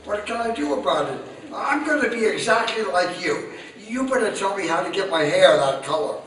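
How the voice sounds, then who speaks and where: An exasperated, agreeable husband cracking jokes in an old-time radio sitcom. His high, sharp voice leaps about. It comes from a very echoey live stage. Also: lively intonation, normal speed. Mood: dismissive, flustered. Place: live stage performance in a hall. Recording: echoey hall, distant live stage, faint noise.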